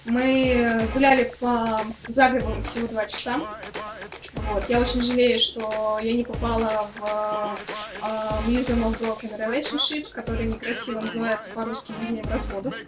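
Young woman, lively storyteller with a slightly high voice, recorded far off in an echoey room. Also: normal speed, music in the background. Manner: lively, animated retelling. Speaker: trip participant telling a story. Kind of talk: casual storytelling to camera. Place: small indoor room. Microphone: camera at a distance.

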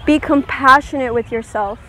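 A woman leading a guided meditation, giving gentle, soothing instructions and checking in with her listeners. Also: high voice, normal speed, slight background noise.

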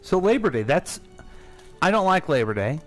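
A man's voice: fairly high pitch, sing-song, moderate pace, soft background music. An American man co-hosting a radio show in casual, joking banter, often repeating his words. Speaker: radio co-host.

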